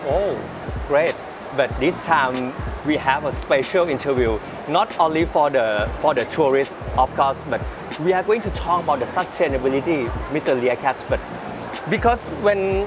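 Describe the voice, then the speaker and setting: Guy interviewing, highish voice, eager, repeats himself, music playing clearly behind. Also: expressive, normal speed, rough recording. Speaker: interviewer, show host. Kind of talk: casual on-location interview. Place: outdoor water park.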